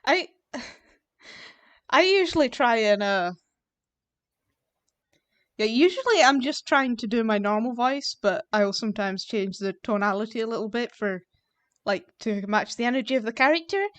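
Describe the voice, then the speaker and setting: A woman's voice: fairly high pitch, animated, normal speed, long pauses, fairly bright tone, no room sound. A woman with a Scottish accent chatting casually on a live role-play stream, giggly and playful.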